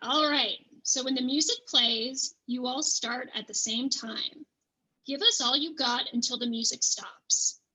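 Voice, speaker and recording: A brash, high-energy female burlesque host, acted by a woman in a table read, teases and rallies the club crowd. Her voice sits high and rings out bright and forceful. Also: measured pace, breathy edge, full character voice, low-quality recording. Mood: commanding, upbeat. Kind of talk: stage emcee patter in a table read.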